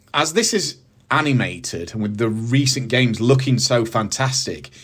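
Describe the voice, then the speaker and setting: A British man in middle age speaks at an average male pitch as the host of a review show. His delivery is scripted commentary loosened by casual asides. He phrases his points with emphasis and enthusiasm. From United Kingdom. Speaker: host of a movie review channel.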